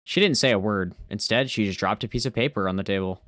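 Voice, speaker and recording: A man's voice: fairly low pitch, fast talker, fairly bright tone, no echo, studio quality, close to an everyday voice. A man in an amateur anime fan dub playing an earnest, anxious ordinary teenage boy. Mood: narrating. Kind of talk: amateur fan dub with first-person narration.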